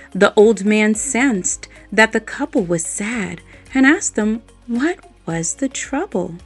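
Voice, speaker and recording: A woman reading a children's story aloud, expressive and adding playful little asides to her listeners. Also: mid-range voice. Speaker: storybook reader. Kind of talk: bedtime story read-aloud. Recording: faint background music.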